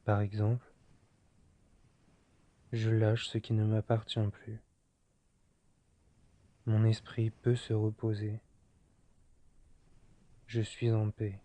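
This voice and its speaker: A man guiding a yoga nidra relaxation in a soft, soothing, unhurried manner, leaving long pauses. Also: fairly low pitch, completely flat delivery. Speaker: meditation guide.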